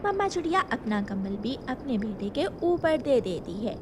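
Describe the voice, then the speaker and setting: High-voiced woman narrating a children's story. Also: very animated, normal speed, noisy background.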